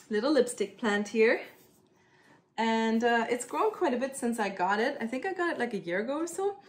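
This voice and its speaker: A woman from Germany with a German accent, chatting casually about her plants as she works on them at home, with lots of ums and "kinda". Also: on the high side. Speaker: plant care vlogger. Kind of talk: casual chat while doing plant chores.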